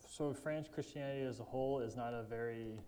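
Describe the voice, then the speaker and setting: A man's voice: mid-range voice, a little fast, dark tone, slight background noise. A young man working in campus ministry, presenting with slides in a casual way with joking asides.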